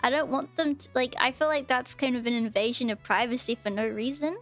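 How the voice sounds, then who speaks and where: A woman's voice: high-pitched, fairly even intonation, fairly quick, soft background music. A young woman chatting casually as a livestream host, hesitant and often repeating her phrases. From Australia. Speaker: streamer, VTuber host.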